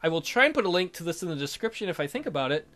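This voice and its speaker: A high, bright male voice performs a story aloud for a let's play audience. He is hammy and jokey, swinging widely in pitch as he narrates, with music playing behind him. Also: very animated, rapid-fire. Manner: theatrical, jokey, hammy delivery. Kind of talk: reading a visual novel aloud with dramatic commentary.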